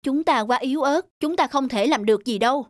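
Woman playing a gentle high-voiced flower mother. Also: brisk, dry recording, clean studio sound, lightly acted. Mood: wistful, resigned. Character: gentle snowdrop flower mother, tender and resigned. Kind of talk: children's cartoon dubbing.

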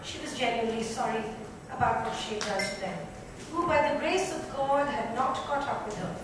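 A woman's voice: on the high side, normal speed. A woman reading a novel aloud as dramatic third-person narration. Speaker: reader. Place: club lounge with seated panel, indoors. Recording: echoey room, distant mic, clear noise.

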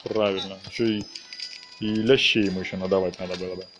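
A man's voice: deep voice, level tone, fast, a bit bright, faint music behind. A man giving a casual, playful walkthrough commentary on a video game, chatting to the characters as he plays. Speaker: gaming video host.

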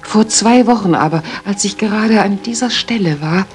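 A woman's voice: medium pitch, normal speed, faint music behind, natural voice. An actress playing a worried, polite young lady in a German radio drama, recounting carefully and earnestly.

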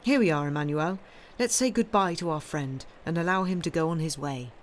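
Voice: mid-range voice, a little fast, slight background noise, lightly acted. Young officer read by a narrator: earnest, eager, a little lovestruck. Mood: polite. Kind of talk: audiobook dialogue.

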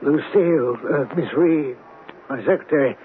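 A man's voice: a little high, moderate pace, close to an everyday voice. A man acting a flustered, evasive company president in a 1970s radio drama, hesitant and defensive. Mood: worried, defensive. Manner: hesitant, anxious, defensive businessman. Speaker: actor in a radio mystery drama. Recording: tinny, noisy old radio recording.

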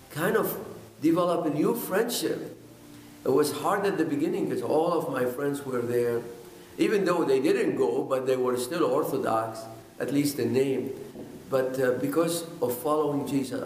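An older man from Iraq tells his own story to the camera in a middle-pitched voice, sincere and a little halting as he restarts words. His speech rings in an echoey indoor room. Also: a little mellow, slight background noise. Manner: earnest, halting, with repeated words and fillers. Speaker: pastor. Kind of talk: personal testimony to camera. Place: indoors, in front of a bookshelf. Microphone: camera at close range.

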